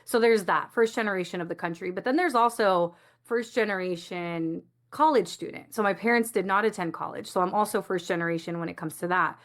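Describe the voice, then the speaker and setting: A woman from the United States answers as a guest coach in an interview. Her voice sits at a middling pitch. She explains things in a reflective, conversational way, drawing on personal examples. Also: brisk, few pauses. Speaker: guest, coach for first-generation community.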